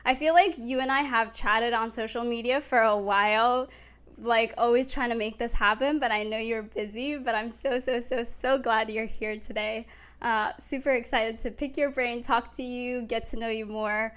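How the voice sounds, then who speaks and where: A woman with a fairly high voice hosts a casual chat. She is gushing and enthusiastic in the way she talks to her guest. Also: steady tone, normal speed, fairly bright tone, clean tone. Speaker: talk show host.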